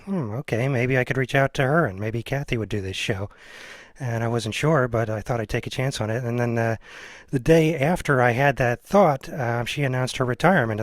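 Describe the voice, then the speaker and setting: A man's voice: medium pitch, no room sound. An American man around forty hosting a casual interview, speaking informally and a bit hesitantly. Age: 30s or 40s. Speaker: podcast host, film teacher.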